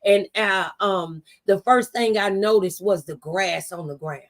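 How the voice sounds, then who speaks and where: A woman's voice: mid-range voice, unhurried. A woman hosting a faith-based motivational conversation, testifying with rhetorical questions and repeated phrases. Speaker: talk show host and mentor.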